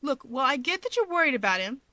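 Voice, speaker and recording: A woman's voice: high-pitched, sing-song, fast talker, pushed and bright, dry recording, pitched above the performer's own voice, heavily acted. A woman voicing an exasperated young male hero in an audiobook, earnest and placating but easily annoyed. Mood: reassuring.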